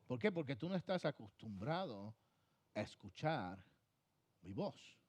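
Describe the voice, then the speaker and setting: A man with a Puerto Rican accent preaching a sermon as pastor, pushing phrases hard and then letting them fall soft. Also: mid-range voice, big pitch swings, measured pace, breathy edge. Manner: emphatic preaching, swings from loud to soft trailing phrases.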